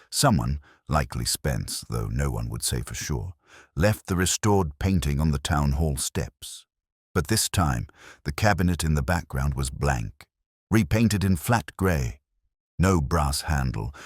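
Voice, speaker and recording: Very deep-voiced male mystery narrator. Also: husky, dry recording, clean studio sound. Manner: measured, literary storytelling. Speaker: story narrator. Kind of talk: scripted narration of a detective mystery.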